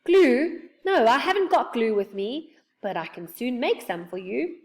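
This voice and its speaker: A woman's voice: a little high, sing-song, a little slow, recorded from a distance. A woman voicing a cheerful, muddled, hard-of-hearing old man from a children's storybook, exclaiming emphatically. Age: older. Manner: emphatic, exclaiming, eagerly mishearing. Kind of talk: children's storybook character reading.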